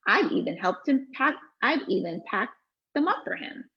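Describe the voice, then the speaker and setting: A woman's voice: on the high side, moderate pace, breathy edge. A woman reading a patient, reassuring mom. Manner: calm, explaining. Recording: dull lo-fi recording.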